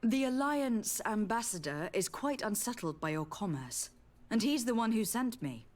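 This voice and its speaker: A woman's voice: on the high side, sing-song, moderate pace, darkish tone. An actress playing a principled noblewoman envoy in a fantasy video game, questioning and reproaching with formal composure. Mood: composed, urgent.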